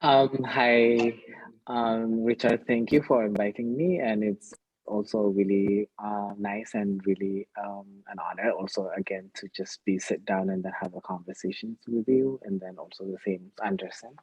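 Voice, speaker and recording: Male-voiced panelist, native Amharic, Ethiopian accent, halting self-introduction, dry and slightly dull recording. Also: fairly low pitch, gravelly, darkish tone, slightly rough, no echo. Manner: halting, searching for words. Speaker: activist panelist. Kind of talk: introducing themselves in a discussion.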